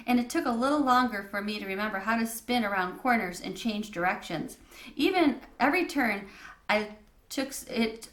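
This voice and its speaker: A woman reads a children's story aloud as a storyteller. Her narration is expressive and mid-pitched, and it carries a noticeable room echo. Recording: echoey room.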